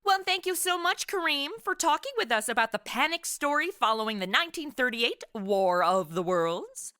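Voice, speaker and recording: A woman's voice: a little high, animated, normal speed, sharp tone, clean tone. A woman hosting a podcast, putting scripted but conversational questions to a guest in an inquisitive way. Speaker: podcast host interviewing a guest.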